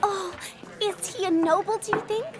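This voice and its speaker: Woman playing a high-voiced, kind peasant girl. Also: moderate pace, very noisy. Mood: curious. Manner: gentle, polite, deferential. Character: kind, shy young peasant goat girl. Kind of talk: audio drama acting. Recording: music under dialogue.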